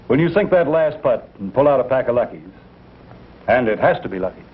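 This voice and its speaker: Male American pitchman, mid-range husky voice, emphatic folksy 1950s sales read, narrow tinny recording. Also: expressive, brisk. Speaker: commercial pitchman.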